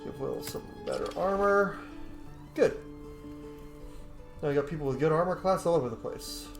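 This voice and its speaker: A man's voice: on the high side, normal speed, creaky, reverberant. A man giving casual commentary as he streams a video game playthrough. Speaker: gaming streamer. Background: faint game music.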